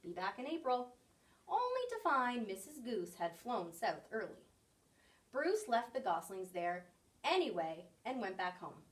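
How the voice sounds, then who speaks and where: A woman reading a children's picture book aloud in an expressive storytelling style. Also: a little high, a little slow, recorded from a distance. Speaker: story reader.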